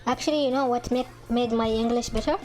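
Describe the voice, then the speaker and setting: A young woman with an Arabic accent, a native Arabic speaker, chatting casually over gameplay in halting English full of restarts and fillers. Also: a little high, fairly even intonation, a little mellow, faint music behind. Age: young adult. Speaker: gaming channel host.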